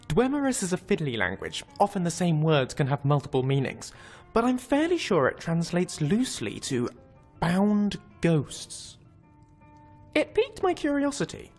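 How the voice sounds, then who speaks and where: A man's voice: high-pitched, darkish tone, exaggerated voice. A man voicing an eager, bookish young scholar adventurer in a fantasy game, earnest, articulate and enthusiastic. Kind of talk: fantasy game companion dialogue.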